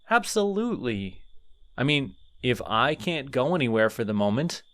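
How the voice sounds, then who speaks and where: A man's voice: mid-range voice, no room sound. A man voicing a friendly, lonely male skeleton in an audio roleplay, rambling and apologizing a lot to the listener. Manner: rambling, sheepish, over-apologetic.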